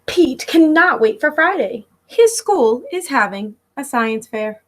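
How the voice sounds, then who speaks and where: A woman's voice: sits high, lively intonation, slow. A woman in her thirties reading a picture book aloud as a teacher, expressively and instructively, speaking directly to young listeners. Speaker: elementary school teacher. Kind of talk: read-aloud storytelling for children. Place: living room at home.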